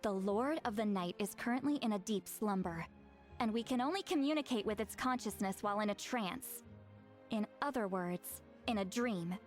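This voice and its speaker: A woman's voice: mid-range voice, lively intonation, moderate pace, soft background music, natural voice. Actress playing a solemn young shaman woman. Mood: explanatory. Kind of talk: scripted video game voice acting.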